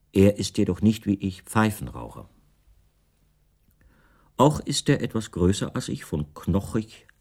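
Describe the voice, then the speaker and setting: An actor playing a bespectacled middle-aged writer who recounts his own story in measured, formal and precise literary narration. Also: deep voice, dark tone, breathy edge, heavily acted. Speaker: first-person narrator of a radio play. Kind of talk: literary scripted narration in a radio play.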